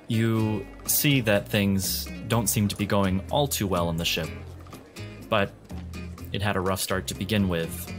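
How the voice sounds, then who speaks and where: A man working as a game master, giving atmospheric narration for a tabletop game over soft guitar music. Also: deep voice.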